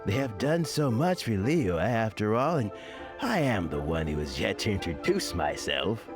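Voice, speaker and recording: An older man playing a courteous old official in a game, speaking in a mild, slow, gracious way. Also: on the low side, sing-song, over music. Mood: genial.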